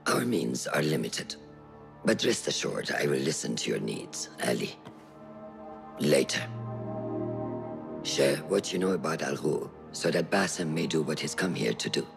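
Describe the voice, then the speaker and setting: Deep, gravelly mentor of assassins. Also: male voice, lively intonation, over music, full character voice. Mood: calm authority. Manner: measured, solemn, formal, instructing. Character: grave older male mentor of assassins, patient and commanding. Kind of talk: video game cutscene acting.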